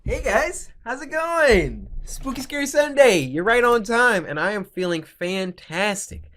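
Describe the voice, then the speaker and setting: An actor playing a smug, taunting show host, mock-chummy and erratic. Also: male voice, very high voice, big pitch swings, measured pace, fairly bright tone, heavily acted. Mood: gleeful. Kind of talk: theatrical show hosting.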